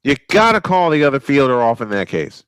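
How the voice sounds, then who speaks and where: A man calling live baseball play-by-play, excitable and prone to repeating his points. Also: medium pitch, smooth, dry recording, studio quality. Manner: excitable, repeats points, quick calls of action. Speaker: play-by-play announcer. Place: home sports studio.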